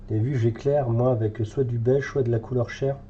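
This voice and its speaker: A man's voice: mid-range voice, steady tone, brisk, warm tone, lo-fi sound, slight background noise. A French man with a cold, thinking aloud with many fillers as he paints live in a hobby tutorial. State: has a cold, congested.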